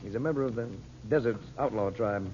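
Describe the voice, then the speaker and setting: A man playing a steady adult protector who guards a secret, in an earnest, firmly reassuring 1930s radio drama style. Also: mid-range voice, a little fast, slight background noise, lightly acted. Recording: muffled old radio recording.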